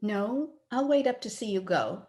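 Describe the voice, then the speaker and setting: A woman's voice: mid-range voice, lively intonation, moderate pace, darkish tone, lightly acted. A woman playing a headstrong, lovestruck young daughter in an old-time radio drama, earnest and a little petulant. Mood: let down. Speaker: actor in an amateur radio play. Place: at home, recorded over video call.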